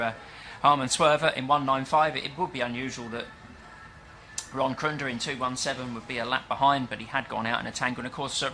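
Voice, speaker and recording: A British man calling a stock car meeting live, talking his listeners through grades and results in a chatty, explanatory way with plenty of fillers. His voice is bright and forward, at a middling pitch for a man. A faint hiss of noise sits under the track recording. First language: English. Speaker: stock car race commentator. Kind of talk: live race commentary. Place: at a dirt track event.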